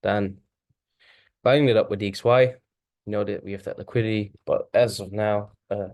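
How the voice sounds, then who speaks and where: Low-voiced Dutch man talking charts. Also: steady tone, darkish tone, no room sound, clean studio sound. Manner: thinking aloud, self-correcting, halting. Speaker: forex trader. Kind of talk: casual chart walkthrough.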